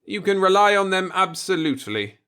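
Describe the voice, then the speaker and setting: A man's voice: high voice, very animated, fairly quick, bright, forceful tone, clean tone, voice pushed up, exaggerated voice. A man voicing an easygoing, self-assured veteran first officer of a spaceship in a sci-fi audiobook, speaking casually and expansively. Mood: easygoing, advising.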